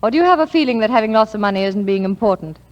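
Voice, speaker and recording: An actress plays a brisk, authoritative lady doctor in a 1940s radio drama. Her voice is fairly high, with crisp, dry wit. A long reverb hangs over the broadcast. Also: animated, lo-fi sound, lightly acted. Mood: dry, teasing. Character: brisk, cool, dryly witty lady doctor.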